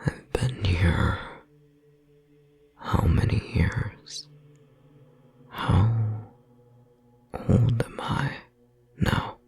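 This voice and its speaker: A man playing an ancient imprisoned godling, resigned and quietly menacing, in a breathy, creaky whisper for a horror audio roleplay. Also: lowish voice, soft background music, full character voice. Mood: wistful resignation. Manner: slow, measured, quietly menacing. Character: ancient imprisoned male godling, resigned, eerily calm, wounded.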